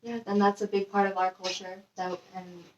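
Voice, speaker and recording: A young woman answering interview questions in a relaxed, filler-heavy way. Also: medium pitch, normal speed, slight background noise. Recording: dull, echoey, distant.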